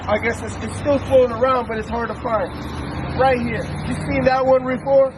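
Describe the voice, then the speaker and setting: High-voiced emphatic street preacher man. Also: steady tone, moderate pace, a crowd in the background. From El Salvador. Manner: emphatic, rhetorical questions, commanding scripture reads. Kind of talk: street sermon. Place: outdoors on a city street. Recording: street traffic noise.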